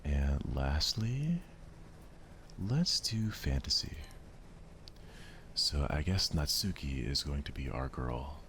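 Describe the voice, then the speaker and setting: A soft-spoken man doing ASMR let's play commentary on a game, murmuring to himself without hurry over some audible background noise. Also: rumbling low, sing-song, slow pace, pauses often. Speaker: gaming streamer playing a visual novel.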